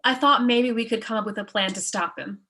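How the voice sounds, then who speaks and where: Earnest, bright-voiced disapproving young girlfriend played by a woman. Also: on the high side, steady tone, brisk, slight background noise. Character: sensible, disapproving young girlfriend of a struggling writer. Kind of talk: comedy script table read.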